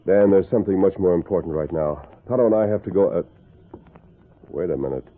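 A man's voice: low-pitched, a little fast, narrow, tinny audio. An actor playing a heroic, level-headed western lawman, firm and formal in an old-time radio drama. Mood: brisk, commanding. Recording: noisy, band-limited vintage radio recording.

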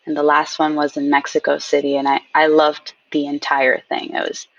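A woman guest telling stories in a casual interview, animated and acting out what people said. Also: low voice, normal speed, creaky, dry recording, distant mic, lo-fi sound. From Queens, New York. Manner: animated storyteller, acts out quotes. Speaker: guest, game company founder.

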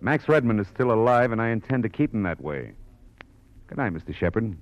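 A man's voice: on the low side, very animated, brisk. A man acting a tough, wry private detective in a 1940s American radio drama, clipped and dryly sarcastic. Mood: cool menace. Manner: clipped hardboiled delivery, dry sarcasm. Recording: muffled vintage radio recording with music.

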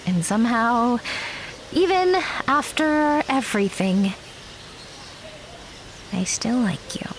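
An actress playing a guarded, blunt young woman with a wry manner and a soft heart in an audio drama. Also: a little high, very animated, takes it slowly, pauses often, a little hiss or hum, heavily acted. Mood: stern, fond. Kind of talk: naturalistic audio drama dialogue.

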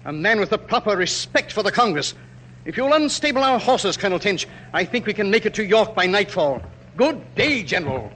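A stuffy indignant politician in a radio play, high voice that swings all over. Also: male voice, sing-song, a little fast, a bit bright, slight background noise, rough recording. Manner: pompous, blustering. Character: pompous, squeamish, indignant congressman. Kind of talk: 1940s radio drama acting.

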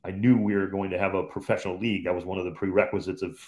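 A man in his fifties, originally from Cleveland, talks about his years in radio. His low, unhurried voice carries easy, loosely phrased recollections, the way a seasoned play-by-play commentator chats as a guest. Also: little pitch movement, a little mellow. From Cleveland, Ohio, USA. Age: 50s. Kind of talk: relaxed storytelling in conversation.

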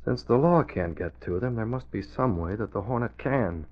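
Radio hero role: sensible, determined boss, authoritative, lively mid-range voice, thin vintage broadcast sound. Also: male voice, lowish voice. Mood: resolute. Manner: measured, authoritative, explanatory. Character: level-headed, determined male boss, secret crime fighter. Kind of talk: 1940s radio drama acting. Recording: tinny, narrow old radio recording.

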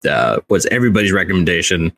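A man with a deep voice chats casually as a podcast co-host. His recording is dry, without room reflections. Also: big pitch swings, quick pace, fairly bright tone, no echo. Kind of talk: casual chat.

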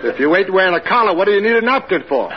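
An actor playing a dim, pugnacious ex-prizefighter turned waiter, blunt and ungrammatical, in a 1940s radio comedy. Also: male voice, high-pitched, expressive. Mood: dim confidence. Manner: blunt, ungrammatical, slow-witted. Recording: noisy, dull vintage radio recording.

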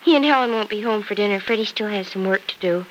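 An American actress playing a gentle young wife who hides her worry in a soft-spoken, forbearing way, in 1940s radio soap style. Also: medium pitch, fast, natural voice. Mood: anxious, composed. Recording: noisy vintage radio recording.

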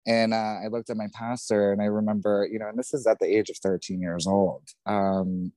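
A young man with a New Jersey accent tells his own story on a podcast, loud, outspoken and swearing freely. His voice sits a little low and sounds mellow and warm. The sound is close and dry, with no room around it. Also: level tone, a little fast, no echo. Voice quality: says he has a feminine voice. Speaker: podcast guest. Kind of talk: candid personal storytelling.

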